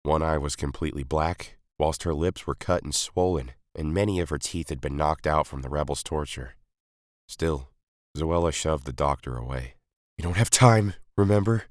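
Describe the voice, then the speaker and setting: A man's voice: a bass voice, steady tone, moderate pace, no echo, studio quality. A man reading a scripted adventure story as an audiobook narrator.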